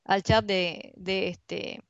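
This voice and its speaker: A woman's voice: mid-range voice, fairly even intonation, very slow, creaky, smooth, dry recording. A woman with an Argentine accent, a university researcher giving an online seminar presentation in a conversational way, searching for words as she goes. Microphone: computer mic on a video call. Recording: dull lo-fi online call audio.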